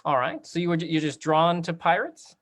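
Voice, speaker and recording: A man's voice: fairly high pitch, a little fast, a bit bright. A man moderating a casual discussion in an encouraging, affirming way.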